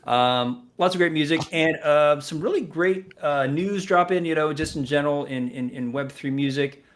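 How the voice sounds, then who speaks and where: A man co-hosting an online music radio show, chatting casually with energy and jokes, often pausing on fillers. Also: a little high. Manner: energetic, joking, lots of fillers. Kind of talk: casual chat.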